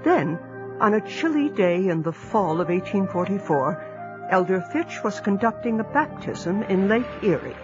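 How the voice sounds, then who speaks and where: A narrator telling a historical story in a measured, scripted way. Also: mid-range voice, very animated. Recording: dull lo-fi audio under clear music.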